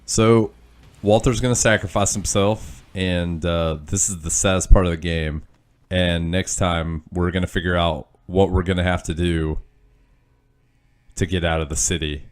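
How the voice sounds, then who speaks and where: A man's voice: deep voice, fairly even intonation, unhurried. A young man with a stuffy, nasal voice, rambling loosely through let's-play commentary with lots of fillers and self-deprecating asides. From Kansas. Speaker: gaming channel host.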